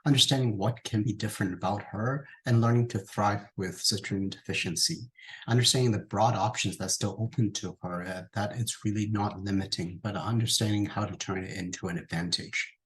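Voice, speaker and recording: A man, a father, talks reflectively about his family's hopes, with some fillers. His voice sits slightly low. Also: steady tone, fairly quick, fairly bright tone, poor audio. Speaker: parent of a patient.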